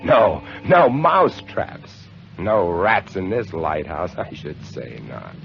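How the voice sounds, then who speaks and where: Lead for vintage radio drama: a shaken lighthouse keeper, slow and lower-voiced, with wide swings, on a dull old broadcast with faint music. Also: male voice, big pitch swings, vocal fry, heavily acted. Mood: wry, uneasy. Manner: hushed, dramatic storytelling with sudden swells. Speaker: lead actor narrating in first person. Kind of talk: 1950s radio drama narration.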